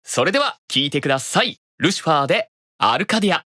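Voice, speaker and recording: Courteous man with a high, lively voice. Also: quick pace, no room sound, studio quality. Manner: modest, courteous. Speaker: guest voice actor on radio show. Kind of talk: polite, casual radio chat.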